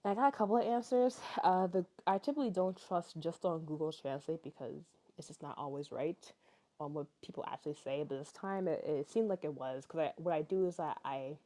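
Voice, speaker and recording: A woman's voice: deep voice, brisk, warm tone. A young woman in her twenties, tired, narrating her vlog in a chatty, rambling way with many fillers. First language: English. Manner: chatty, rambling, frequent fillers and little laughs. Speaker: vlogger. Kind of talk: casual voice-over narration.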